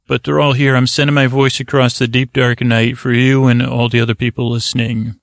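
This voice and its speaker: A tired man with a creaky voice hosts a bedtime podcast, rambling and hesitant, meandering and trailing off mid-thought. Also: mid-range voice, level tone, a little fast, dry recording, clean studio sound. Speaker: podcast host.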